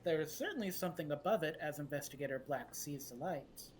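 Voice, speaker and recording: A woman's voice: sits low, expressive, quick pace, a little mellow, slight background noise. A woman acting as game master, playfully setting the scene of a tabletop horror game.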